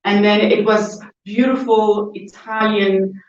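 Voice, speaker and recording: A woman's voice: medium pitch, little pitch movement, takes it slowly. Congolese woman guest, echoey and far off. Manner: frequent "you know" and "whatnot". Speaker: interview guest. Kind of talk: conversational personal storytelling. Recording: echoey, distant, dull poor sound.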